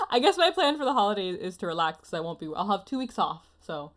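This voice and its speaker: A woman's voice: medium pitch, sing-song, brisk, a bit bright. A woman hosting a casual anime podcast, chatting in a playful, giggly manner. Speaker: podcast host.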